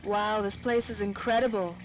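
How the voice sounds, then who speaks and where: An actress playing a plucky, slangy nightclub dame in the style of old-time radio drama. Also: female voice, mid-range voice, fairly even intonation, moderate pace, muffled recording, natural voice. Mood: curious.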